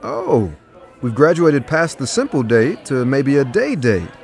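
An actor playing an easygoing, flirtatious scientist in an audio drama, teasing and earnest. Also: male voice, medium pitch, sing-song, measured pace, a little mellow, soft background music. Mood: warmly flirty. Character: easygoing, flirtatious male scientist dating a woman.

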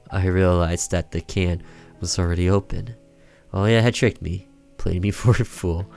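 A man's voice: low voice, a little mellow. A man reading a horror visual novel aloud as a gaming video narration, in a storytelling style.

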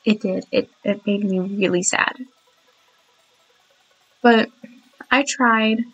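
A woman's voice: mid-range voice, fairly even intonation, slow pace, fairly bright tone, rough recording. A young woman with a sore, cracking throat, rambling chattily and giggling through a voiceover of her own game building. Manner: chatty, giggly, apologetic, many restarts. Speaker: speed build narrator.

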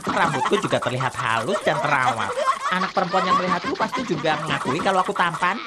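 A woman's voice: deep voice, animated, fast, heavy background noise. A woman voicing a boastful cartoon schoolboy in a dub, with exaggerated delivery. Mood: smug.

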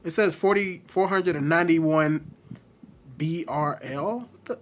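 A man hosting a casual video-call chat, teasing and blunt in an animated way. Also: on the high side, unhurried, a little hiss or hum. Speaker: commentary channel host.

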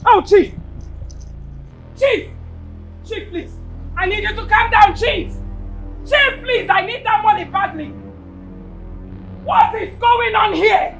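A woman's voice: very high voice, unhurried, long pauses, faint music behind, heavily acted, poor audio. An actress playing a greedy brothel madam who is also a domineering mother, begging a customer and scolding her son. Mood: pleading. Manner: pleading, then scolding and shouting.

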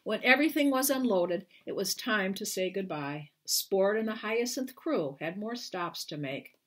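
A woman in her sixties or older with a husky voice reads a children's book aloud in a deliberate, expressive way, as an author at storytime. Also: medium pitch. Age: 60s or older. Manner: deliberate, expressive reading with brief explanatory asides. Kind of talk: storytime read-aloud to camera. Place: small living room at home, indoors.

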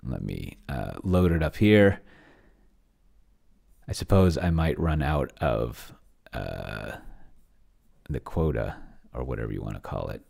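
A man's voice: a bass voice, sing-song, slow pace, gravelly, long pauses, darkish tone, husky. A middle-aged man giving casual, unscripted livestream commentary, rambling as he thinks aloud and trailing off into mumbles. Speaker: host of a tabletop roleplaying experiment stream.

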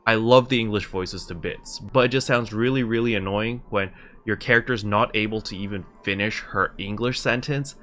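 A man's voice: on the low side, normal speed, a bit bright. A young adult man hosting a video game tutorial in a casual, conversational, step-by-step explaining style, recorded indoors at home. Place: small room at home, indoors.

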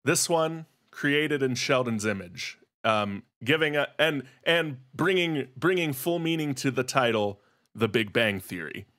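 Male panelist, mid-range voice, casual, halting delivery, spinning joke theories in earnest. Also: medium pitch, lively intonation, a bit bright. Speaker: podcast panelist. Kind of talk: casual chat, joking theorizing.

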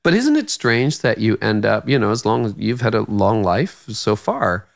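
A man in his fifties hosting a podcast interview, chiming in with quick, engaged remarks on a studio mic in a quiet room. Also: lowish voice, very animated, vocal fry. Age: 50s. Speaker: podcast host. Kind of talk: casual conversational interview. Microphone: studio mic on boom arm.

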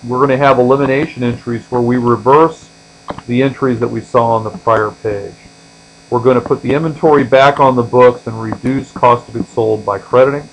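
A man's voice: medium pitch, poor audio. A man teaching as an accounting instructor, explaining examples step by step in a tutorial style, starting phrases firmly and trailing off at the ends. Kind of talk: explanatory tutorial lecture, walking through on-screen examples. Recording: steady mains hum.

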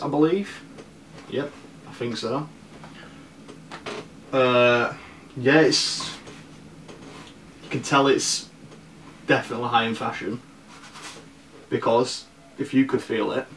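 A teenage man with a Leeds accent gives an informal review to camera at an unhurried, slow pace. His delivery is halting, with repeated words. He sounds distant from the mic in an echoey room. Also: mid-range voice, fairly bright tone, a little hiss or hum. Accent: Leeds, Northern English. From Leeds, northern England. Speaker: fashion vlogger. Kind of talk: casual product review to camera. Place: small bare room indoors.

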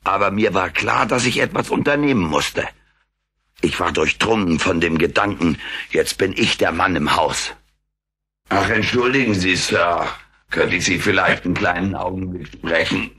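A man voicing a cheeky, hungry furry alien in a German radio play, raspy and deadpan as he wisecracks. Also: low voice, normal speed, heavily acted. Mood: indignant, wry.

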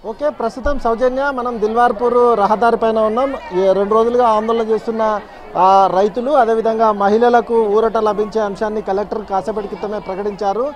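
A male field reporter with a high-pitched voice delivers a live update outdoors on a road, holding a handheld mic. He talks steadily, filling pauses with hesitation sounds. A faint crowd murmurs behind him. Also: fairly even intonation. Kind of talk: live news report from a protest.

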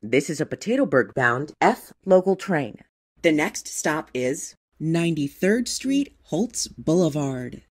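A woman's voice: low voice, very animated, unhurried, breathy edge. A woman giving scripted, formal train announcements in segmented phrases, like an automated transit system.

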